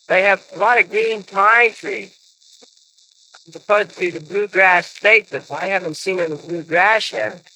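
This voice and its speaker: A young American man speaking a halting, informal audio letter, like a soldier writing home, on a 1950 paper record. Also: high-pitched, low-quality recording. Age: young adult. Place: servicemen's club recording booth. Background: loud record surface noise.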